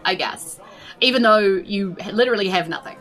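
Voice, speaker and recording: A woman's voice: mid-range voice, fairly quick, a bit bright, poor audio. A woman with a New Zealand accent narrating a tabletop roleplay game as game master in a chatty, informal way.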